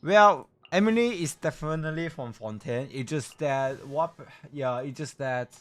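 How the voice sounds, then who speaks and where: A man chatting casually as he hosts a game livestream, halting and repeating himself, with loose non-native English phrasing. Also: fairly high pitch, fairly bright tone. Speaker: livestreamer hosting a game stream.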